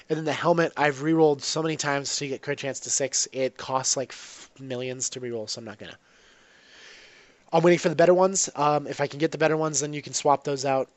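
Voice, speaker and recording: A man's voice: medium pitch, dry recording. A man with a congested voice from a cold, casually commenting on his own gameplay with lots of ums and restarts. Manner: rambling, self-correcting, frequent ums.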